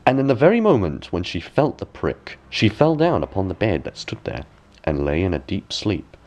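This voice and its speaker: Deep-voiced man reading a fairy tale. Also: very animated, moderate pace, darkish tone. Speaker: storyteller, audiobook reader. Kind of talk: scripted bedtime fairy tale narration.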